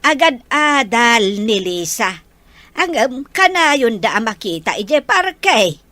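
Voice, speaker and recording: A woman's voice: a little high, big pitch swings, slow, sharp tone, dry recording, heavily acted. A woman playing a blunt, meddling older mother who nags, in an audio drama with an Ilocano Filipino accent. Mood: scolding.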